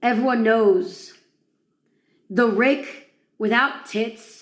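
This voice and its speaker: A woman's voice: a little high, drawn-out words, roomy sound. A woman delivering a dramatic, mocking monologue to one listener in a crude, theatrical, taunting way. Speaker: performer of villain monologues.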